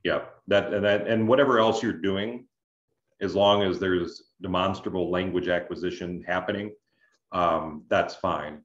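Deep male voice, adviser explaining conversationally, measured, dull roomy video-call sound. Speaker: scholarship adviser, presenter. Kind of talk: explaining in an online information session.

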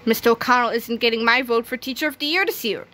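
A woman reading a children's graphic novel aloud, expressively, reading sound effects out loud as well. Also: fairly high pitch, quick pace. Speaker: reader.

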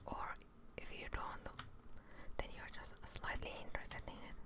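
A young woman whispering breathily and musing aloud to viewers as she streams a game in ASMR style, close to the microphone. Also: unhurried. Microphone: close studio microphone on desk.

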